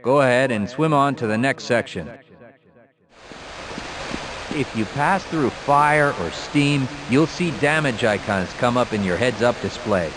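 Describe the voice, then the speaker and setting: A man's voice: medium pitch, lively intonation, lightly acted. Formal man acting as training announcer, very noisy audio. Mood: formal, instructive. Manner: measured, formal, instructional. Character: male training course announcer, formal and instructive. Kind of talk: scripted game tutorial narration.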